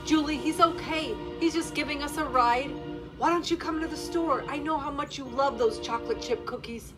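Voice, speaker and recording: Woman playing a gentle coaxing caseworker. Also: sits high, moderate pace, over music, voice pushed up, close to an everyday voice. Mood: reassuring. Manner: soft, coaxing, reassuring. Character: gentle, worried female mental health caseworker.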